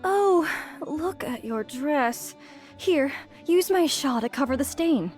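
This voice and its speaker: A woman playing a genteel, gossipy high-society lady in an audio drama, polite in manner. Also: animated, slow, darkish tone, faint music behind. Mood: concerned.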